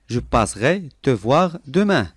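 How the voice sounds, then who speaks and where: Male lesson reader: deliberate, clear, repeats each phrase, animated intonation. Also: medium pitch, dry recording, studio quality. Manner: clear, deliberate, each sentence said three times. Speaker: language lesson voice. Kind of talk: slow repeated phrase reading for learners.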